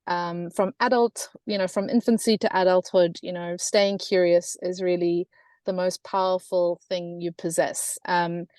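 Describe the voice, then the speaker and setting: A woman's voice: on the low side, darkish tone, no echo. A South African woman in her thirties presenting in a webinar, talking with many fillers and restarts. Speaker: webinar guest speaker.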